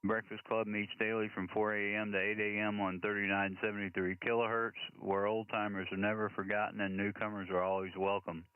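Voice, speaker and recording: An American man working as net control operator on a ham radio, making deliberate, orderly announcements and spelling in phonetic letters. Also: on the low side, flat delivery. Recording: narrow tinny radio-band sound, faint hiss.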